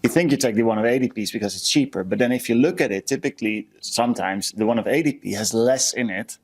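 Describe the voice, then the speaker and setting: A man's voice: mid-range voice, quick pace. A man from the Netherlands with a Dutch accent, co-hosting a podcast in casual chat, giving tips emphatically and repeating words. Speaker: podcast co-host.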